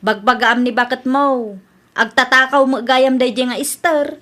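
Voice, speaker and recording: A woman acting a shrill, fed-up Ilocano wife. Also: steady tone, normal speed, bright, forceful tone. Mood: indignant. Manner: complaining, exclamatory. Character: fed-up, accusing wife robbed by a neighbour. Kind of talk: Ilocano comedy radio drama acting.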